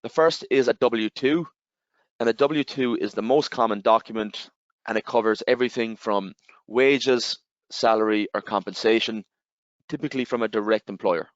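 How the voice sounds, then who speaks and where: A man with an Irish accent, giving a webinar presentation and explaining step by step, with steady fillers and repeated "again". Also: fairly low pitch, moderate pace, dry recording. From Ireland. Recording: slightly dull, dry webinar audio.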